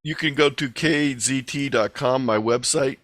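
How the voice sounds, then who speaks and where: A man with a mid-pitched voice gives an informal online presentation. The sound is dry, with very little room in it. He explains things in a conversational way, like someone fielding questions. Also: measured pace, a bit bright, no room sound. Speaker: guest presenter. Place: online video meeting.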